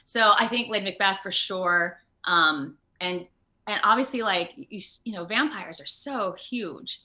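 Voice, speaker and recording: A woman with a bright, forward voice talks casually as an interview guest. She is an animated performer who speaks at an everyday mid pitch and likes to act out bits of what she describes. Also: measured pace. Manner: animated, acts out quoted remarks. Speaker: interview guest, video game and voice actor.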